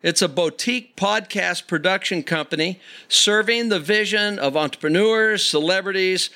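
A man's voice: high voice, moderate pace, pushed and bright, clear, resonant tone. A man hosting a podcast interview, enthusiastic as he introduces his guest. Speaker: podcast host.

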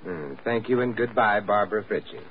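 An actor playing a suave, wry gentleman adventurer with dry, urbane delivery in an old-time radio drama. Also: on the low side, fairly quick, heavy background noise, natural voice. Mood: wry, sardonic. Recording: tinny vintage radio recording, faint music.

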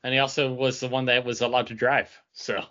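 A man's voice: medium pitch, level tone, fairly quick, bright, forceful tone, lo-fi sound. A man co-hosting a podcast in casual, conversational chat. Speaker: podcast co-host.

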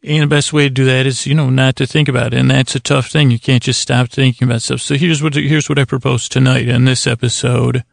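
A man with a very creaky, gravelly voice hosts a sleep podcast in a close, dry recording. He rambles in a halting, improvised way, wandering off on whimsical tangents and leaving thoughts half finished. His pitch sits in an ordinary middle range for a man. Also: little pitch movement, no echo. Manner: meandering, halting, trails off mid-thought; mildly whimsical, acts out imagined exchanges. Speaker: podcast host.

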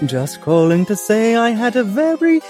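A woman's voice: on the high side, very animated, normal speed, warm tone, faint music behind. A woman playing a smug, scheming swindler in a comedic audio drama. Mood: smug, gloating.

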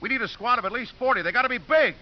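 An actor playing a brash, cynical, wisecracking American football coach in a 1950s radio drama, blunt and slangy. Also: male voice, very high-pitched, very fast. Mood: exasperated. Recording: muffled, hissy 1950s radio recording.

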